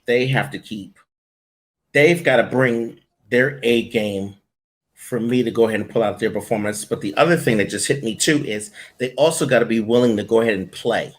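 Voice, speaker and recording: A man's voice: mid-range voice, normal speed. A man speaking as an interview guest and coach, answering conversationally and emphatically, repeating his points for stress.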